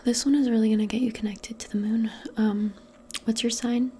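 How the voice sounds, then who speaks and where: A woman role-playing in soft-spoken ASMR, speaking gently and soothingly in a breathy, mostly whispered voice. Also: fairly high pitch, fairly even intonation, slight background noise. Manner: gentle, soothing, unhurried, conversational. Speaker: ASMR performer role-playing a modern witch.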